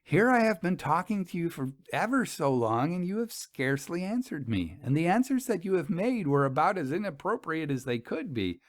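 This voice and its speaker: A man's voice: a little high, sing-song, moderate pace, few pauses, dry recording, pitched above the performer's own voice. A man voicing a merry, teasing young woman in a story, chatty and playfully mock-grave. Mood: teasing, chiding.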